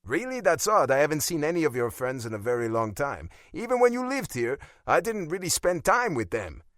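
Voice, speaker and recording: A man's voice: a little high, big pitch swings, brisk, a bit bright, no echo, studio quality, pitched above the performer's own voice. A man voicing a defiant adult son in an audiobook, sarcastic, flippant and wry. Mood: protesting. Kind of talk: audiobook character acting.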